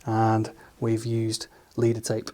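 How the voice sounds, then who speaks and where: Man calmly explaining studio gear. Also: lowish voice, slow. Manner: relaxed, methodical step-by-step explaining with fillers. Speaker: studio engineer demonstrating equipment. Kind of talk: hands-on tutorial narration.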